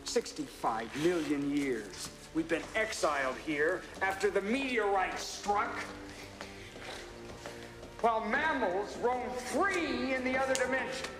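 An actor playing a scheming, mocking middle-aged tyrant in a live-action film, speaking with deliberate menace. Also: male voice, sits high, animated, over music. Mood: brooding.

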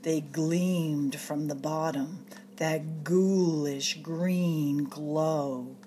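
A woman reading a picture book aloud to children in an expressive, dramatic storyteller's way, drawing out suspenseful phrases. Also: low voice, extremely slow, a little hiss or hum. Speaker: storytime reader at a public library.

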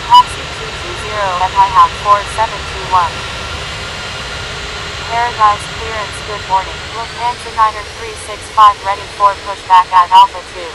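A woman acts as a businesslike airport radio operator in a flight control game. She delivers scripted phraseology in a formal, clipped way at a medium pitch. Very loud jet engines run behind her. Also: fairly even intonation, moderate pace, background noise, natural voice. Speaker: air traffic radio voice. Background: aircraft engines and airport ambience.

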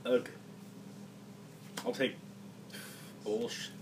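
Grumbling male card player, mid pitch, mumbled calls, picked up from far off with steady room noise. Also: extremely slow. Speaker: card game opponent. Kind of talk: casual chat while playing. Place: indoor room at a game table. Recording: distant, noisy phone capture.

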